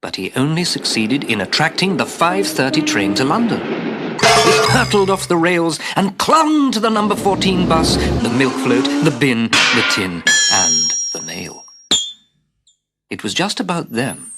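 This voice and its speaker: A man narrating a scripted children's story as a dramatic, expressive storyteller who drops into hushed asides. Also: on the high side, unhurried, over loud music. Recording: hissy old cassette tape.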